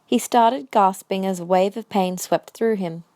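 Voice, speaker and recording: A woman's voice: medium pitch, unhurried, mellow. A woman reading scripted story narration for an audio drama as the narrator.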